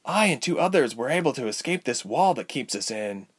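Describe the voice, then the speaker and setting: A man's voice: medium pitch, very animated, brisk, husky, pitched above the performer's own voice. A man voicing an earnest, impatient young woman adventurer in a storybook, polite but urgent and full of questions. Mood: determined. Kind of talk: storybook character voice.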